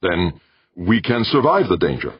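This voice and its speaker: A man's voice: sits low, sing-song, measured pace, husky, no room sound, pitched above the performer's own voice, heavily acted. A man playing a tense human leader who snaps and presses with urgent questions. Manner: snappish, urgent. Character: tense human leader facing a grave choice. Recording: dull dry recording.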